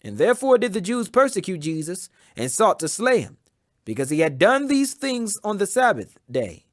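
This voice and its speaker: A man giving a solemn, emphatic and measured dramatic reading of scripture as an audiobook. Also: high-pitched, sing-song, dry recording, studio quality. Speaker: Bible reader.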